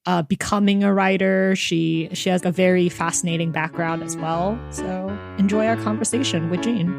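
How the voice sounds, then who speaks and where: A woman's voice: lowish voice, fairly even intonation, normal speed, creaky, music in the background. A woman hosting a podcast, talking in a casual, conversational interview style. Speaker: podcast host.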